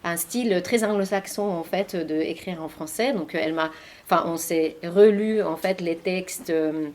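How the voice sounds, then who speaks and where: Low-voiced Finnish woman, hesitant. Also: normal speed, darkish tone. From Finland. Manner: hesitant, many fillers and restarts. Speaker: interviewed author and journalist. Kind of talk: public talk at a library. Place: library meeting room.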